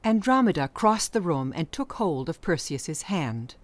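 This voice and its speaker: A woman's voice: sits low, sing-song, unhurried, no echo. A woman narrating an audiobook story in a scripted, dramatic storytelling style.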